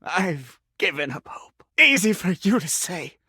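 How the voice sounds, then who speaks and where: A man's voice: high voice, sing-song, unhurried, sharp tone, husky, no echo, clean studio sound, exaggerated voice. A man voicing a pompous, melodramatic talking sink in a video game, with the formal diction of a self-important claims adjuster. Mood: dejected, pining. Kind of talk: video game character acting.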